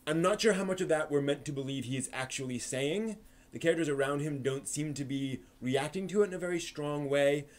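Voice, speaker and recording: A man's voice: on the high side, fairly quick. A man hosting a live stream, giving a casual, off-the-cuff review and often rephrasing himself as he explains.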